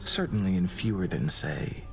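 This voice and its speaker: An actor playing a courtly, melancholy male god of doom in a video game, speaking formally and with gentle devotion. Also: sits low, lively intonation, moderate pace, soft background music. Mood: tender, devoted. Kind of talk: scripted video game romance dialogue.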